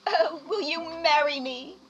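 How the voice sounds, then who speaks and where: A woman's voice: high voice, a little slow, muffled recording, slight background noise. A woman playing a mysterious, melodramatic young gold-digger in a comic radio play, speaking coyly.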